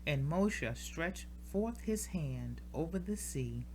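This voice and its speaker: A woman reads scripture aloud in a solemn, measured style, pausing deliberately between short phrases. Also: sits low, expressive, darkish tone, slight background noise, poor audio. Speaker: scripture reader. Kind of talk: solemn scripture reading from the King James Bible.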